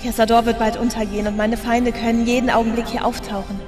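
A woman's voice: on the high side, flat delivery, quick pace, lightly acted. Actress as a wistful woman in echoing reverb. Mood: urgent. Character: wistful, urgent younger woman, tender and mysterious. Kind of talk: science fiction audio drama acting. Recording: long echoing reverb, music behind.

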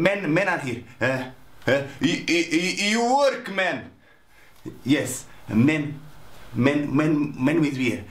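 An actor playing a flustered hotel waiter, speaking broken English and stammering excitedly. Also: male voice, sits high, full character voice. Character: flustered male hotel waiter, eager and confused. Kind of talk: sitcom acting. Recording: roomy with faint hiss.

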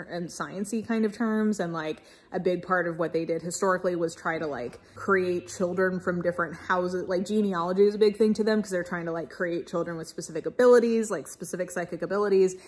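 A woman's voice: lowish voice, fairly even intonation, a little fast, hardly any pauses, slightly rough. A young adult woman, talking casually and emphatically to camera as an enthusiastic, informal book reviewer. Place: small-to-medium living room indoors with bookshelves.

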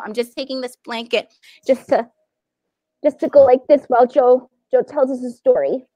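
A woman in her thirties hosting a library event on a video call, chatting casually with a young audience. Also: sits high. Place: indoors at a video call setup.

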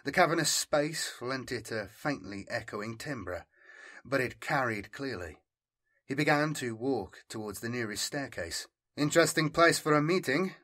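A man's voice: mid-range voice, expressive, measured pace, sharp tone. A man reading scripted fiction as an audiobook narrator.

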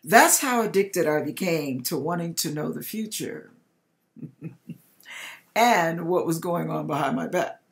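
Slow, measured middle-aged woman. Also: low voice, lively intonation. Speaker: host reading a viewer's testimony. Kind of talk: reading a written testimony aloud. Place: home kitchen, indoors.

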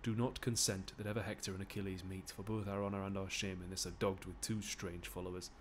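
A man playing a shrewd old Greek statesman, declaiming verse from a classical play in a measured way. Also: sits low, level tone, a little hiss or hum, pitched below the performer's own voice, natural voice.

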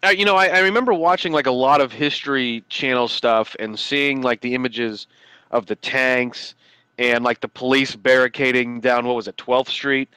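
An American man with a bright, clear voice shares earnest, thoughtful remarks in a casual podcast chat, dotting his sentences with "you know." His voice is recorded close and dry, with no room sound. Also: medium pitch, fairly even intonation, moderate pace, no echo, poor audio. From United States. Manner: earnest, reflective, many "you know" fillers. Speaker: podcast co-host. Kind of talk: casual movie review chat.

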